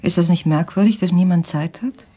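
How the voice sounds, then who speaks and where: A woman's voice: on the low side, brisk, dark tone, breathy edge. An actress playing a wounded, disdainful well-off older wife and mother in a radio drama, speaking in a brittle way. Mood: reproachful. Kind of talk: naturalistic radio drama acting.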